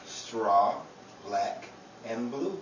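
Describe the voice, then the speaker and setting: A man's voice: lowish voice, expressive, drawn-out words, dull audio. A man playing a brash, bantering New York fight trainer in a 1930s radio comedy, with exaggerated comic patter. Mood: teasing. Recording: echoey room, distant mic, background noise.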